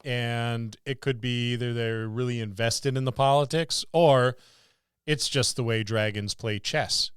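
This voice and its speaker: A man's voice: medium pitch, fairly even intonation, a little slow, clean tone, no echo, studio quality. A man co-hosting a casual tabletop role-playing podcast, thinking aloud and repeating words for emphasis. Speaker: podcast co-host and experienced game master.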